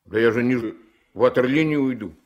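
Male actor for a riverboat skipper in a Soviet radio drama: gruff, dry-witted, laconic, medium pitch. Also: a little fast, muffled recording, lightly acted. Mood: grumbling. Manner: folksy, laconic, grumbling. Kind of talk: 1970s Soviet radio play acting. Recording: old mono radio play recording.

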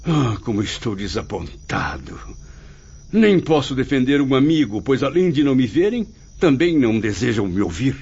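A man's voice: medium pitch, sing-song, normal speed, a little mellow, slightly rough. A man playing the melancholy, proud spirit of a dead writer in a radio soap, with theatrical, literary lamenting. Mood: dejected, wounded. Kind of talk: dramatic radio soap acting. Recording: muffled radio drama with faint music.

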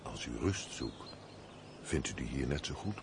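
An actor playing a loyal, wry manservant, formal and deferential, in a Dutch period radio play. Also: male voice, very deep voice, animated, a little slow, background noise, heavily acted. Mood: deferential, reasoning.

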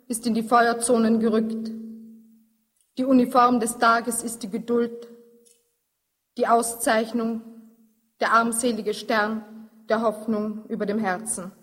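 Female reciter, slightly high and even in tone, solemn poetic delivery, reverberant sound. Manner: measured, grave, deliberate. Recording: long reverberant hall-like echo.

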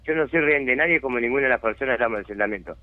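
A man's voice: medium pitch, racing, no room sound. Uruguayan man, community spokesman, insistent and indignant, phone-quality sound. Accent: Uruguayan Spanish. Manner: insistent, indignant, checks understanding often. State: has a chronic lung disease. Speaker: settlement resident and community spokesman. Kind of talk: phone interview on radio. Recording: dry tinny phone line.

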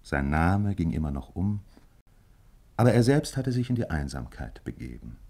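A man narrating a 1950s German radio drama as a measured, reflective storyteller with literary phrasing. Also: deep voice, very animated, a little mellow, breathy edge, heavily acted.

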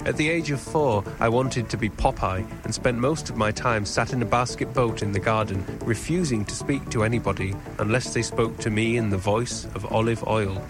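An English man in his thirties from northern England narrates his own memoir in a measured, literary storytelling way. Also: mid-range voice. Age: 30s. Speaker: author narrating his autobiographical audio piece. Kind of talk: scripted autobiographical narration. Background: music.

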